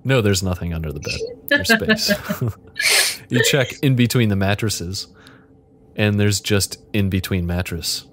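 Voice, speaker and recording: A man's voice: fairly low pitch, animated, measured pace, fairly bright tone. A man acting as game master, narrating improvised interactive fiction in a playful, joking way.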